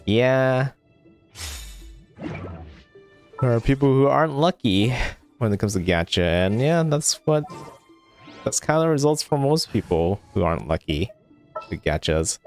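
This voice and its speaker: A man's voice: mid-range voice, a little mellow. A man livestreaming a video game, giving casual, rambling commentary as he thinks aloud. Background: game music.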